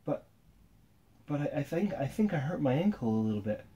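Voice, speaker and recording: A man's voice: a little high, very animated, brisk, warm tone, highs cut off. A man voicing an eager small boy in a bedtime story, stammering a little. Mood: shaken. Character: small brave boy, about four.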